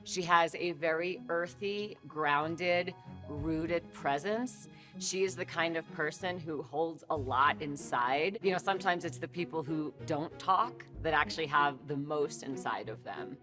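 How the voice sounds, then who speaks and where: A woman's voice: low-pitched, measured pace, music in the background. A woman speaking as a psychic reader on an unscripted TV show. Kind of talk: unscripted psychic reading and interview.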